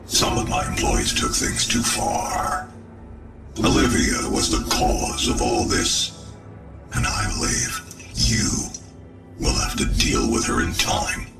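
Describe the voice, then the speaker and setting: A towering cyborg director with a very deep voice full of gravel and a processed edge. He is coldly authoritative and imperious in a game cutscene, measured yet swinging widely in pitch, over loud music. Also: full character voice. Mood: grave, justifying. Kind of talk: scripted video game cutscene acting.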